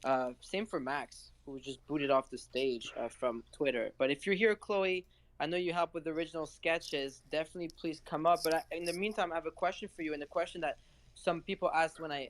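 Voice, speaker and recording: A man's voice: a little high, fairly even intonation, fast. A man hosting a live audio chat, putting questions to his guests. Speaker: host.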